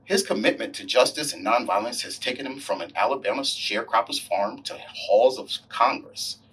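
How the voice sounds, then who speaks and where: A man reading a story aloud in an expressive, deliberate way, acting out the dialogue as he goes. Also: fairly low pitch, recorded from a distance, slight background noise, rough recording. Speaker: volunteer story reader, hospital staff member. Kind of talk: reading a graphic novel aloud.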